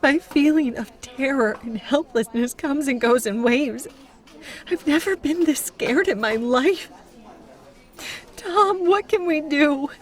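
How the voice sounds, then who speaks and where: A frightened yet determined woman in an audio drama, voiced by an actress. Her voice sits a little high, and she talks naturally, as a heroine in danger would. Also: takes it slowly.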